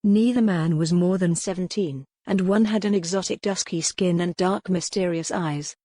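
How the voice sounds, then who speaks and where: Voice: mid-range voice, moderate pace, dark tone, dry recording, studio quality. A narrator reading a historical romance novel in scripted, dramatic storytelling style. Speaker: audiobook narrator.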